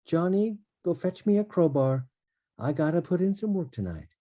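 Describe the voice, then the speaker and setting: A streetwise man who mentors the young, played by an actor, worries aloud in a very dark, soft-edged voice. The pitch swings with the poetic stage lines, heard over a video call. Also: sing-song, moderate pace. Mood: worried, resolute. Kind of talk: table read of a stage play.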